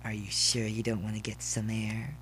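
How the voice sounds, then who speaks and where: A man's voice: fairly low pitch, monotone, moderate pace, fairly bright tone, a little hiss or hum, lightly acted. A man voicing a clingy, pushy male pony suitor in a story, drawing his words out suggestively. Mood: wheedling, suggestive.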